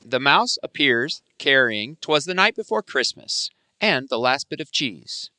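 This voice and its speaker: A man's voice: medium pitch, sing-song, measured pace, sharp tone, no echo, clean studio sound. A man reading scripted dramatic narration for a radio play, describing scenes as they unfold.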